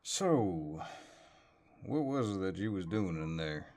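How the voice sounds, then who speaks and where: A man acts out a handsome cowboy neighbor with a low Southern drawl. He speaks very slowly and hesitantly, polite but gently teasing, as in an intimate audio roleplay. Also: animated. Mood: warm teasing. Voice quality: deep voice. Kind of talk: audio roleplay, intimate one-sided dialogue.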